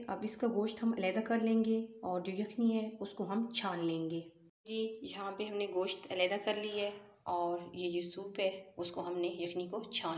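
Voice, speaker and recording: Cooking host: woman, calm and methodical, slightly high voice, recorded in an echoey home kitchen. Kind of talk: step-by-step recipe voice-over.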